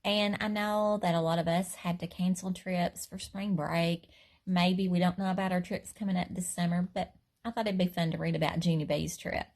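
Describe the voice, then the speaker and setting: A woman's voice: on the low side, fairly even intonation, moderate pace, a little mellow. A woman teacher chatting casually to children before reading a storybook aloud, in a friendly, chatty way. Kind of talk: casual intro to a read-aloud, then storybook narration.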